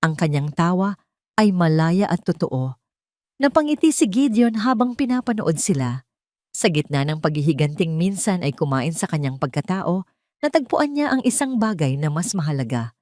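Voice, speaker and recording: A Filipina woman narrates a scripted dramatic story. Her voice sits a little low and is soft-edged and mellow, recorded very dry with no room sound. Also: very animated, moderate pace, no room sound.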